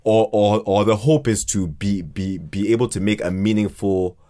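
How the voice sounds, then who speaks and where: Low-voiced man, halting, repetitive talker. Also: mellow. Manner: halting, repeats words often as he thinks aloud. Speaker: podcast guest, fiction podcast writer. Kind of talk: casual conversational interview.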